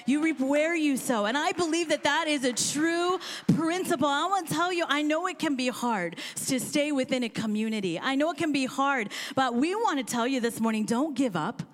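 High-voiced woman pastor preaching fervently. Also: moderate pace, hardly any pauses. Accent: American Midwest. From born in India, raised in Kansas. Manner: impassioned preaching, rising to near shouts. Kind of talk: sermon. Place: church auditorium with live congregation.

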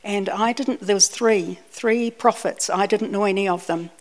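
A woman's voice: medium pitch, normal speed, a little mellow. New woman preaching conversationally. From Scotland, raised in New Zealand. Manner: conversational, repeats words when restarting. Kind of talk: personal sermon with anecdotes.

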